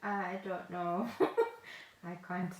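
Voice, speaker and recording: A woman's voice: fairly low pitch, drawn-out words. A South African woman co-hosting a podcast in casual conversation, recorded with roomy echo. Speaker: podcast co-host. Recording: roomy echo, faint background noise.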